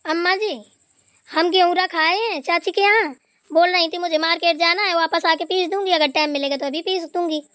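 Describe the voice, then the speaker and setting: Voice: very high-pitched, fairly even intonation, brisk, fairly bright tone, dry recording, clean studio sound. A performer voicing a dutiful, overworked village daughter-in-law in a Hindi cartoon, chatty and deferential. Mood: apologetic. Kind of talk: Hindi family cartoon dialogue.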